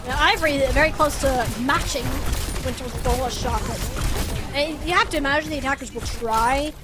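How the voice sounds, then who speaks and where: A woman's voice: high-pitched, normal speed, very noisy. A woman giving live esports commentary and analysis in a conversational, analytical way.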